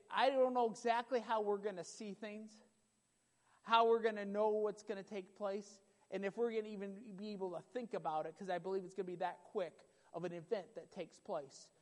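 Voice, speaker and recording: A man preaching a sermon with a loud voice, emphatic and repetitive, building in intensity. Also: sits high. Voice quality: loud voice at times. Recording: long hall reverb.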